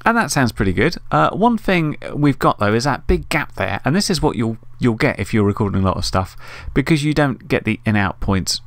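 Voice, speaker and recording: A man's voice: mid-range voice, sing-song, brisk, gravelly, few pauses, no echo. A man hosting a casual screen-recorded tutorial, thinking aloud informally as he works. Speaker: tutorial host. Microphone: USB desktop microphone.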